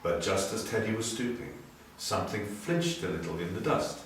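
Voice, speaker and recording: A man reading a story dramatically, moving between hushed and emphatic delivery. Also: on the low side, sing-song, normal speed. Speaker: story reader. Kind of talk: expressive storybook narration. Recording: very echoey room, distant, faint noise.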